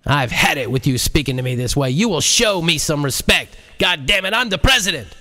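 A man's voice: fairly high pitch, lively intonation, fairly quick, pushed and bright, pitched above the performer's own voice. A man voicing an irate, blustering male president in an audiobook, indignant and emphatic. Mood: furious, defiant. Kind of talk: audiobook character acting.

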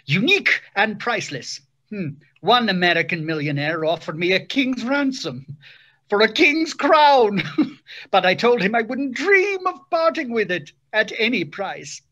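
A woman's voice: medium pitch, big pitch swings, unhurried, fairly bright tone. A woman playing a boastful, eager young aristocratic Englishman in old-time radio drama style. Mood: proud. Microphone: video call.

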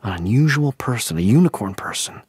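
An actor playing a wistful middle-aged husband, talking softly and haltingly at a funeral in a radio play. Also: male voice, fairly low pitch, lively intonation, breathy edge, dry recording. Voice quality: soft, halting, musing. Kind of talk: radio drama monologue.

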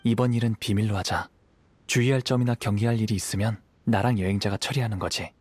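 A man voicing a calm, courteous young wandering swordsman in a video game, speaking softly, politely and with measured thought. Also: lowish voice, slightly rough. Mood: thoughtful, gentle.

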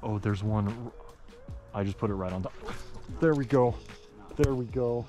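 A man chatting casually and excitedly in slang while fishing, recorded outdoors from a raft. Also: fairly low pitch, a little slow, over music. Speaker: angler.

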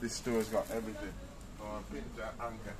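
A man with a Jamaican accent vlogging casually on a rainy street and in a shop, with relaxed patter and street greetings. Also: on the low side, brisk, rough recording. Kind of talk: casual shopping vlog. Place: outdoors on a rainy street and inside a grocery shop.

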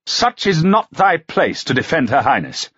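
A man's voice: medium pitch, big pitch swings, normal speed, a bit bright, slightly rough, no room sound, lo-fi sound, voice pushed up. A man voicing a proud, stern medieval duke who speaks in a commanding, old-fashioned way. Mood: indignant.

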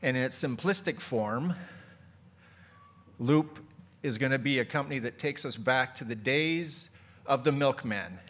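A man's voice: medium pitch, unhurried, long pauses, fairly bright tone. A man moderating a conference, introducing the next speaker from notes and chatting with the audience. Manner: reads from notes, then jokes with the audience. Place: conference hall.

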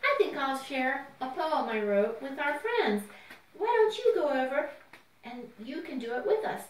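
Slow-paced woman hosting children's storytime. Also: high voice, rough recording. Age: middle-aged or older. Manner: expressive, drawn-out emphasis, addresses children directly. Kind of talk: storytime narration for young children.

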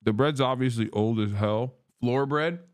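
A man in his thirties, from Turkey, riffs loosely and profanely with his live chat between bites. His voice sits at an ordinary middle pitch. He is close on a studio mic in a small wood-paneled room. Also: a little slow. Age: 30s. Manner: brash, profane, joking, talks with food in mouth. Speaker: streamer. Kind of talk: casual livestream chat while eating. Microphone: studio mic on boom arm close to face.

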